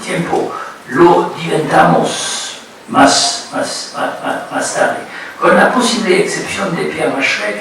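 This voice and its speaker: Halting older man lecturing in an echoey hall. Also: mid-range voice, background noise. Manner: halting, many fillers and repeated words. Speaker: guest lecturer. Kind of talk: academic lecture. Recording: echoey hall, recorded from far off.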